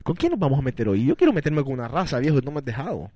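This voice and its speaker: A man's voice: medium pitch, very animated, very fast, warm tone, breathy edge, no room sound. A man hosting a casual radio talk show, teasing his co-host and joking around. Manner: teasing, playful, chatty with restarts.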